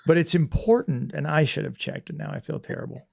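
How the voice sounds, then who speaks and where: A man's voice: a little high, fairly quick, gravelly, darkish tone, slightly rough. A man hosting a casual livestream podcast, rambling and self-deprecating with lots of restarts. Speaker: podcast host.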